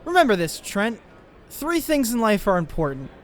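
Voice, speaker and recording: An earnest, nerdy teenage boy acted by a man speaks in a high, animated voice. He sounds anxious and expressive, telling his story in a dubbed comic, with long reverb around him and music underneath. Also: moderate pace. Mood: earnest, playful. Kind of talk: dramatized comic dub, dialogue and first-person narration.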